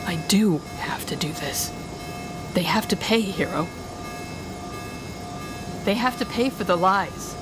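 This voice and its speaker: A woman's voice: mid-range voice, lively intonation, slow, long pauses, music in the background. A woman playing a weary, sardonic telepathic supervillain in an audio roleplay, speaking wryly and dramatically straight to one listener. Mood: desperate, anguished. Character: weary sardonic female telepathic supervillain, bitter yet fair.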